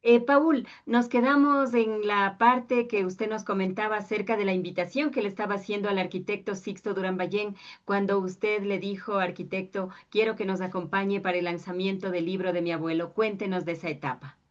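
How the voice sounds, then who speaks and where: A woman's voice: medium pitch, fairly even intonation, normal speed, few pauses. Dull, distant-sounding woman interviewer. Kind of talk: radio-style interview questions.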